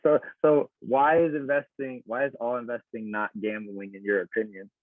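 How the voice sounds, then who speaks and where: A young man co-hosting a podcast with his father, quick and teasing, often laughing. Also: mid-range voice, fairly even intonation, no room sound. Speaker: podcast co-host, son. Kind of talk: casual chat. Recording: tinny phone-like sound, dry room.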